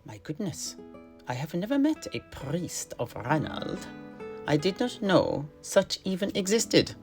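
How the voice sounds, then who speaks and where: Casting: male performer as curious fantasy mercenary knight, raised voice, halting and unsure, over a music bed. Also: sits high, sing-song, voice pushed up, full character voice. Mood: surprised, hesitant. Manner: hesitant, halting, full of surprised questions. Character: naive, curious mercenary knight listening to a tale. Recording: music behind the voice.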